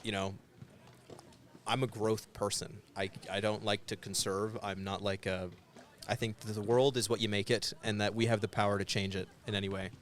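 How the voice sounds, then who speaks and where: A man's voice: lowish voice, little pitch movement, normal speed. A man hosting a podcast, chatting casually and jokingly with a stranger outdoors, with loose fillers like "you know". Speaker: podcast host interviewing strangers. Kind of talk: casual interview chat. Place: outdoors in a public area.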